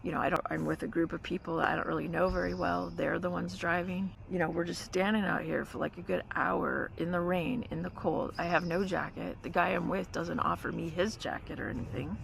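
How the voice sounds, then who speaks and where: A woman's voice: fairly low pitch, steady tone, brisk, creaky, few pauses. A woman vlogger telling a story in a casual, chatty way, full of "like" and "you know". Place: forest campsite by a car. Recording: outdoor noise with vehicle hum.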